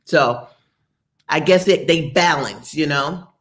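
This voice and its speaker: A woman, chatting candidly as a podcast co-host and acting out quotes. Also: slow pace. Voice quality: deep voice. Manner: candid, animated storytelling with acted quotes. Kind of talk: casual opinion chat.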